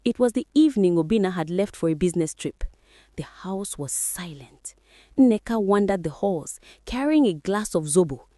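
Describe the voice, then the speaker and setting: A woman's voice: on the low side, lively intonation, moderate pace, warm tone, dry recording, clean studio sound. A woman storyteller narrating a folktale in a dramatic, scripted style.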